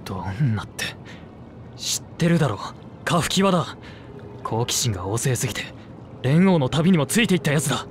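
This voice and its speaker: A man's voice: mid-range voice, animated, a little fast, a little hiss or hum, close to an everyday voice. A man voicing a serious rabbit-eared wanderer in a video game, curt and insistent in manner. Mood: insistent, disbelieving. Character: terse, serious rabbit-eared male wanderer seeking his mother. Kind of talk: Japanese video game voice acting.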